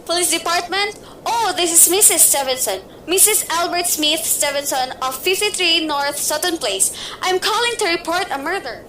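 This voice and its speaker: A woman voicing a nervous, bedridden, wealthy woman in an amateur radio play who talks in a shrill, impatient, pleading way. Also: moderate pace, pushed and bright, slight background noise. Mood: frantic insistence.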